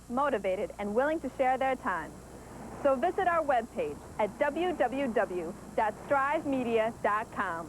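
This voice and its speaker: A woman's voice: high voice, slight background noise, poor audio. An American woman reading a scripted recruitment promo as an announcer.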